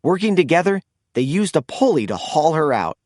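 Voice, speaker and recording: A man narrating a children's storybook in an expressive, animated read-aloud style. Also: on the high side, normal speed, no room sound, clean studio sound.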